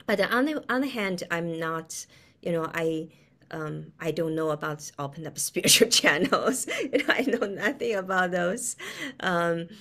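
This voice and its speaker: A middle-aged woman from China with a Chinese accent, explaining in a conversational, halting way as an interview guest, often with a chuckle in her words. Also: low voice, fairly bright tone. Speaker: guest, quantum physicist. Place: indoor room, video call.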